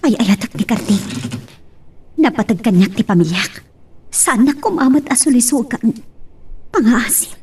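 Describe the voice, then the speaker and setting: A woman's voice: fairly high pitch, expressive, normal speed, long pauses, darkish tone, slight background noise, natural voice. A woman playing an anguished wife and mother confronting her unfaithful husband, with an Ilocano accent, in love drama acting. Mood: torn, pleading.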